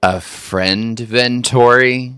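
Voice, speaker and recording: A man's voice: medium pitch, slow, bright, forceful tone, clean tone, dry recording, clean studio sound. A man from Texas hosting a reaction video, making wry, skeptical remarks that stumble over restarts. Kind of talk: casual commentary on a clip.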